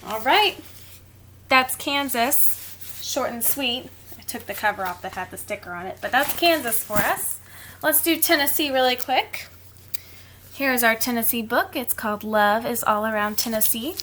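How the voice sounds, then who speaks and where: Woman, higher-pitched voice, teacher reading storybooks to young children, expressive delivery, casual explanatory asides. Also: moderate pace, a little hiss or hum. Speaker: teacher reading picture books aloud.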